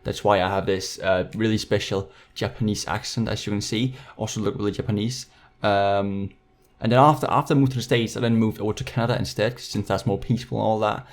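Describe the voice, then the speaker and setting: A man's voice: lowish voice, fairly even intonation, brisk. An eighteen-year-old man from Denmark with a Danish accent, chatting casually and jokily to viewers as a YouTuber. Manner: jokey, slangy, playfully sarcastic. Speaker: YouTuber hosting a Q&A.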